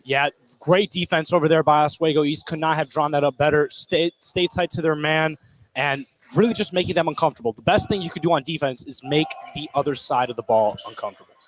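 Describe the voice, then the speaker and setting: A man's voice: fairly high pitch, steady tone, fairly quick. A man giving casual color commentary on live basketball in short reactions. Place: indoor basketball gym, courtside. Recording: noisy gym ambience. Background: game noise.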